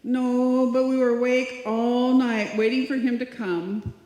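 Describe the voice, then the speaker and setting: Lady doing a dopey young secretary, high soft mellow voice, slow, radio comedy in echoey hall. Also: fairly even intonation, close to an everyday voice. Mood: worried. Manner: guileless, dim-witted delivery. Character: dopey, naive, earnest young female secretary. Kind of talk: live old-time radio comedy acting. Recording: echoey hall, live stage performance.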